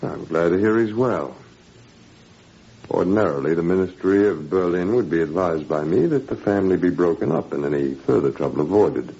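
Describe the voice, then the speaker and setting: An actor in a 1940s radio drama playing a smug, menacing Nazi education official with a sardonic, mock-courteous manner. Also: male voice, low-pitched, moderate pace, warm tone, slightly rough. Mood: silkily menacing. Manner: silky, sardonic, mock-courteous, drawling hesitations. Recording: dull, noisy 1940s radio recording.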